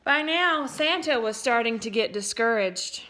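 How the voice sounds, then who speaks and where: A woman teacher reading a picture book aloud to children, expressive and playful, breaking off for little asides to her listeners. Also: fairly high pitch, unhurried, slight background noise. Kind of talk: storybook read-aloud for children.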